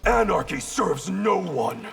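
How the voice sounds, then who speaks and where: An actor playing a proud, cold, disdainful ninja in a video game, stern and sardonic with a gravelly voice. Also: male voice, high-pitched, sing-song, slow, a crowd in the background, exaggerated voice. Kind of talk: video game fight banter.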